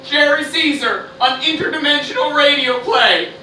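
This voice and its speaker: A man voicing an excitable little creature narrator who squeals and declaims grandly in a live comic radio play. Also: moderate pace, faint music behind, heavily acted. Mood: announcing. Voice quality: high-pitched, squealing. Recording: roomy live venue, distant mic, noisy.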